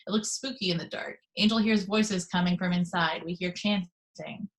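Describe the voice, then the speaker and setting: A woman reading the action descriptions of a screenplay in a steady, matter-of-fact way on a video call. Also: on the low side, moderate pace.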